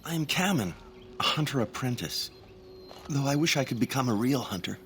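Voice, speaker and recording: A man's voice: medium pitch, lively intonation, moderate pace, a bit bright, slight background noise. A man playing a timid, lovesick young elf hunter apprentice in a video game, hesitant, stammering and easily frustrated. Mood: nervous, flustered. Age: young adult. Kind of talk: dramatic game dialogue.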